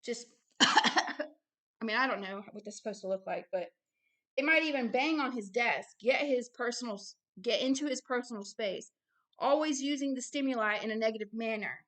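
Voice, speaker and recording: Emphatic, bright-voiced woman preaching. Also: on the high side, a little fast. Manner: emphatic, blunt, repeats phrases for stress. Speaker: ministry founder and host reading and commenting on a book.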